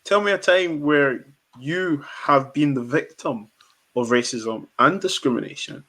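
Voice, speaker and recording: A man's voice: a little high, measured pace, bright, forceful tone, poor audio. A man hosting a podcast, interviewing guests in a direct way with lots of fillers and repeated words. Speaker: podcast host.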